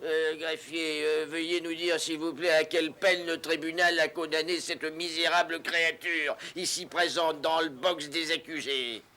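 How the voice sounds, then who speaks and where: An actor playing a stern, pompous, scolding judge in a cartoon, with a declamatory delivery. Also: male voice, fairly high pitch, little pitch movement, moderate pace, few pauses, pushed and bright.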